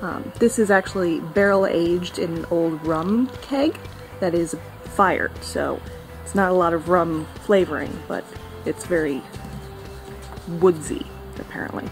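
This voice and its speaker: A young woman chatting casually through a product review, friendly and relaxed with a few ums along the way, filmed on a phone at arm's length indoors. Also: on the low side, measured pace, over music. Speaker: cigar reviewer.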